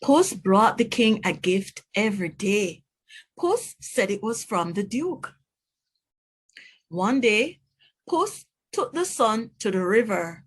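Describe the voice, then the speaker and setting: Slow, clear teacher reading a storybook. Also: female voice, mid-range voice, sing-song. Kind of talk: children's read-aloud narration.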